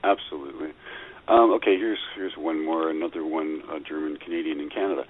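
A man's voice: a bass voice, steady tone, brisk, slightly rough. A man hosting a talk radio show, reading listener letters aloud in a plain conversational way. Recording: tinny phone-like radio audio.

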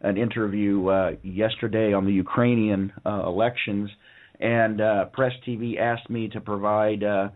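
A hoarse man who is a guest on a radio show, talking casually and rambling with many fillers and restarts. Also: fairly low pitch, level tone, a little slow. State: hoarse from a lung infection. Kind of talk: casual phone-in interview talk. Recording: narrow phone-line sound.

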